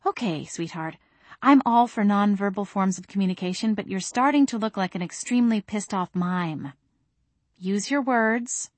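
A woman voicing a blunt, protective young female best friend in an audiobook, with a brisk, teasing manner. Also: mid-range voice, no room sound, natural voice. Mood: wry, soothing.

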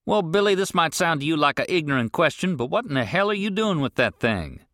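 A gruff, blunt older rancher with a drawl, pitched well above the reader's own low voice, as a man puts him on. He talks loud and exasperated, in a dry, close storybook reading. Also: very animated, brisk, no room sound.

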